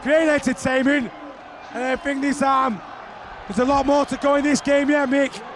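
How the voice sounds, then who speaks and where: A man giving excited play-by-play commentary on a rugby league match, often shouting over the action. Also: extremely high pitch, moderate pace. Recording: archive broadcast with crowd noise.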